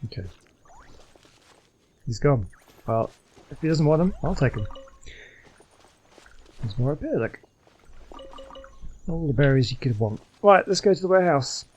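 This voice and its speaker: A man's voice: fairly high pitch, sing-song, a little slow, pauses often, slight background noise. A man giving casual running commentary on the game he is playing. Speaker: gaming video host.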